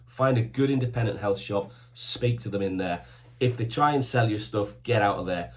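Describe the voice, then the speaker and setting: A man in his fifties giving supplement and bodybuilding advice straight to camera, in short emphatic commands and an enthusiastic, plain-spoken way. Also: on the low side. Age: 50s. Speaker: supplement shop owner giving fitness advice.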